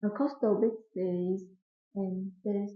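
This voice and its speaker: Slow, hesitant young woman candidate. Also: mid-range voice, steady tone. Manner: hesitant, slow, searching for words. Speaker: exam candidate. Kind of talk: mock speaking exam answers. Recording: echoey, tinny phone-like sound.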